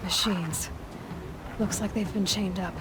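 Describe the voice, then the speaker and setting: A woman's voice: mid-range voice, steady tone, unhurried, very noisy, lightly acted. An actress playing a skeptical, blunt young woman warrior and scout in a video game, speaking directly and with understatement. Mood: focused. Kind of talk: video game cutscene acting.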